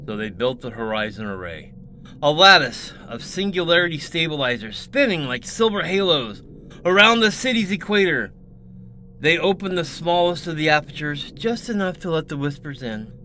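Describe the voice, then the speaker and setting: A man's voice: on the high side, sing-song, moderate pace, sharp tone, a little hiss or hum. A man reading dramatic scripted science fiction narration as a storyteller.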